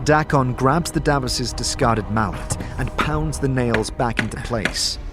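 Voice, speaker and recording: A man's voice: medium pitch, a little slow, loud music behind the voice, natural voice. A man narrating a fantasy adventure as a storyteller, in scripted dramatic narration. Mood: urgent.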